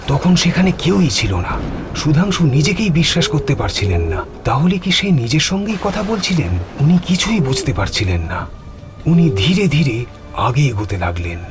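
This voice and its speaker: A man narrating a scripted horror story in a dramatic, suspenseful way. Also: on the high side. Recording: music behind the voice.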